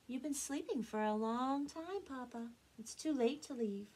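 A woman's voice: on the low side, very animated, normal speed, husky, full character voice. A woman voicing a devoted young male duck in a children's story, speaking earnestly and reassuringly.

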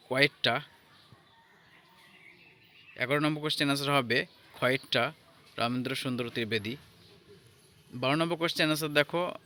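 A man giving an informal lesson as an exam tutor, halting and repeating himself as he checks in with listeners. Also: mid-range voice, pushed and bright. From India. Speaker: exam tutor presenting answer keys.

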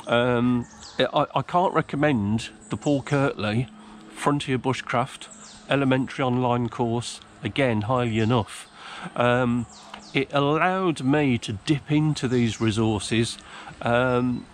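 A man's voice: medium pitch, slight background noise. An older man talking casually to camera in a woodland, rambling with many ums and restarts. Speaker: outdoors vlogger. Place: outdoors in a woodland. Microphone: camera a few feet away.

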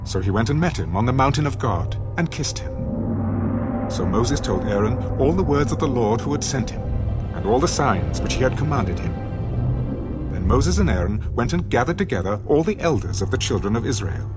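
Male scripture narrator, mid-pitched, lively intonation, dramatic scripted read over a clear score. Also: normal speed. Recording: music behind the narration.

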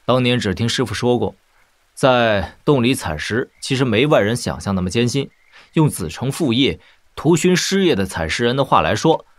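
A man playing a lively, roguish young wuxia lord. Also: medium pitch, no echo, natural voice. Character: young martial-arts lord, cheeky, curious, roguish. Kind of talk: martial-arts audio drama acting.

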